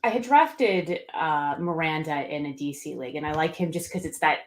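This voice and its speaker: Female podcast co-host, very low voice for a woman, relaxed and halting with frequent ums. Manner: hesitant, many fillers and restarts. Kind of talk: casual podcast chat.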